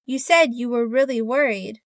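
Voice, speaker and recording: A woman's voice: on the high side, normal speed, no echo, studio quality, close to an everyday voice. A woman playing a no-nonsense doctor. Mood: dry. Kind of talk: scripted listening-test dialogue.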